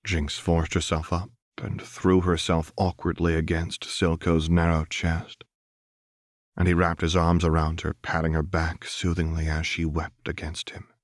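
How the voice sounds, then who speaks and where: Man, audiobook narration, unhurried, even intonation, subdued, scripted fiction, dry close recording. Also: sits low, no echo. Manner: measured, subdued.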